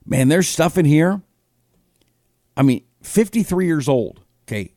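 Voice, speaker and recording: A man's voice: on the high side, expressive, unhurried, mellow, dry recording, clean studio sound. A man in his fifties with an American accent, hosting a casual live talk show in a rambling, conversational way full of rhetorical questions. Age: 50s. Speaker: host of a political talk show. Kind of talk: casual live commentary, reading listener comments.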